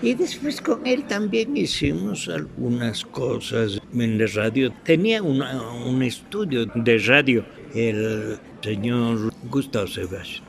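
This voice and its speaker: An older man with an Ecuadorian accent, a veteran radio actor reminiscing in an interview, haltingly searching for his words. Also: mid-range voice, sing-song, gravelly, crowd noise.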